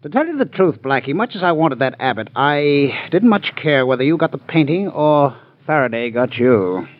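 An actor playing a cold, sly gang leader in a 1940s radio crime drama, speaking with smug menace. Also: male voice, on the high side, lively intonation, moderate pace, no echo, lightly acted. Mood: smug, taunting. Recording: muffled 1940s radio recording.

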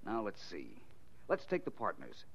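Suave low-voiced radio detective. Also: male voice, huge pitch swings, gravelly, fairly bright tone, breathy edge, full character voice. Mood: absorbed, reasoning. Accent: American. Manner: deliberate, coolly reasoning. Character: suave, unflappable male detective, confident and wry. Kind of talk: 1940s radio acting. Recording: dull 1940s radio recording.

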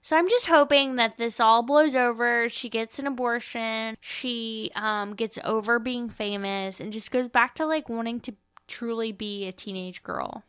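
Bright, clear-voiced woman, sardonic podcast chat. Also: a little high, measured pace, few pauses, no echo, clean studio sound. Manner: opinionated, sardonic, informal. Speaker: podcast co-host. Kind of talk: casual book-discussion chat.

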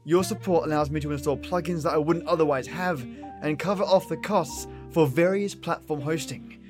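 A man presents a scripted podcast outro. His voice is a little high and his manner effusive and matey. Guitar music plays clearly behind him. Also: normal speed. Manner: effusive, chummy address to listeners. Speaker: podcast host. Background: plucked guitar music.

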